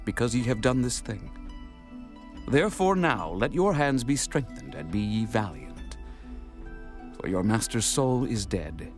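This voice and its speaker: A man's voice: medium pitch, natural voice. A man playing a solemn, gracious newly anointed king in a dramatized scripture reading, stately and declamatory.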